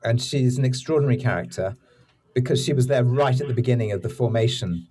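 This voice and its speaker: A man's voice: mid-range voice, fast talker, warm tone. A man speaking as a drama director being interviewed, explaining things conversationally with loose, informal phrasing. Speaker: audio drama director and executive producer, interview guest.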